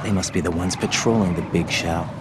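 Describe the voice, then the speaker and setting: A man's voice: low voice, steady tone, a little fast, noisy background, natural voice. A man playing a young, earnest rookie operative in a video game, clipped and inquisitive in his delivery. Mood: curious. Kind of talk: dramatic video game voice acting.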